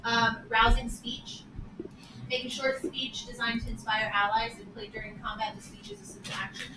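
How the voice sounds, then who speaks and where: A woman's voice: a little high, moderate pace, noisy background. A woman playing a tabletop game, chatting casually in a playful, joking way. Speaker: player.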